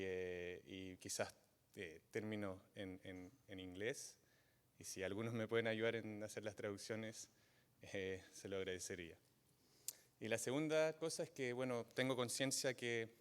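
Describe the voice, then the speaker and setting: A man, not from Chile, giving a university guest lecture, halting often with fillers and restarts. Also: on the low side, clear, resonant tone. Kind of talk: academic lecture. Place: lecture hall. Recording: long reverb of a lecture hall.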